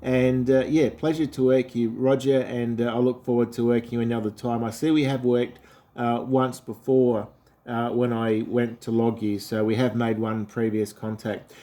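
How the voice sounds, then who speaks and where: A man's voice: mid-range voice, level tone, moderate pace, mellow. An Australian man from South Australia, an amateur radio operator chatting easily through a ham radio contact, with many fillers.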